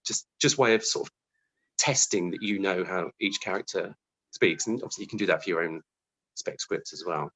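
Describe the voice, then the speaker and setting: A man's voice: deep voice, moderate pace, fairly bright tone, slightly rough, poor audio. A man giving a casual interview as a guest, thoughtful and hesitant, often restarting his sentences. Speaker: interview guest, television scriptwriter.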